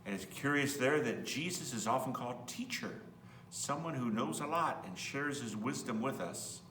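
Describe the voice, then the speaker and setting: A man's voice: mid-range voice, lively intonation, moderate pace, fairly bright tone. A man reading a children's Bible story aloud as a priest, expressively, with simple asides to young listeners. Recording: roomy, distant mic, faint hum.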